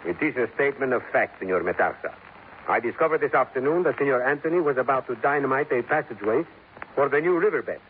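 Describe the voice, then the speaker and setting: An actor playing a calm, stubborn police captain with a Spanish accent, speaking formally and deliberately in a 1950s radio drama. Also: male voice, medium pitch, phone-quality sound, natural voice. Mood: firm, controlled. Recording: noisy, thin 1950s radio recording.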